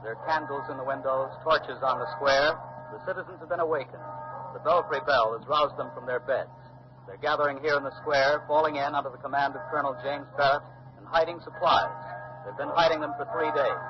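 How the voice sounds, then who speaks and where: An actor playing a courteous, probing male field reporter in a measured 1940s radio news style. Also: medium pitch, fairly even intonation, lightly acted. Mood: descriptive, serious. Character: brisk, probing male newsman reporting live from colonial town. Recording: dull, noisy old 1940s radio recording.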